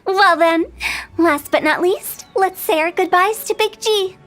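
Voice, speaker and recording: A woman's voice: very high voice, a bit bright, soft background music. A woman voicing a playful young funeral parlor director who teases and jokes while telling grim lore. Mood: teasing. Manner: teasing, mischievous, quick-witted.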